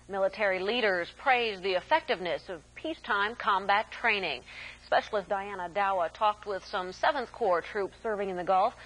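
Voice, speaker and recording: An American woman working as a news anchor, reading scripted news in a formal, measured announcer delivery. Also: medium pitch, fairly bright tone, poor audio. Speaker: military network news anchor.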